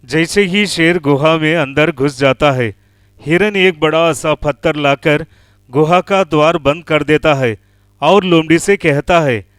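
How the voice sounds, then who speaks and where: A man's voice: a little high, unhurried, fairly bright tone, clear, resonant tone, no echo, clean studio sound. A man narrating a scripted children's animal story.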